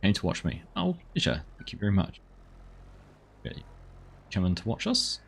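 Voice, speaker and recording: Aussie guy live streaming a farm game, deep husky voice, chatty with chat. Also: huge pitch swings, normal speed, fairly bright tone. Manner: chatty, reads out and thanks viewers. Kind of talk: casual live-stream commentary.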